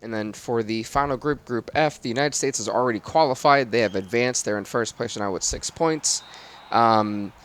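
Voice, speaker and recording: A man with a raspy voice doing live football game commentary and stream chat in sportscaster phrasing. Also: medium pitch, moderate pace, a bit bright.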